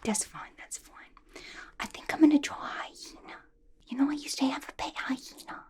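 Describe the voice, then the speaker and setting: A woman voicing a scatterbrained, gossipy, clingy young female villain in a breathy, intimate ASMR whisper, chatty and playful with slangy grammar. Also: high-pitched, moderate pace, a little mellow, natural voice. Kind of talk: ASMR roleplay, whispered to the listener.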